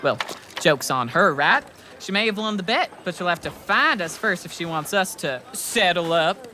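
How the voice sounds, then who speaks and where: Bright, sharp scheming western sidekick. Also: male voice, sits high, expressive, moderate pace, a little hiss or hum, heavily acted. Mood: wry, scheming. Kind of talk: western audio drama acting.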